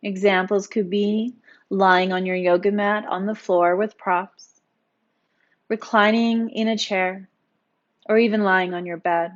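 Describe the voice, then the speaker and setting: A woman's voice: medium pitch, fairly even intonation, a little slow. Woman guiding meditation, slightly dull audio. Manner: soothing, instructional, gently encouraging. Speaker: yoga instructor. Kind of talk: guided meditation narration.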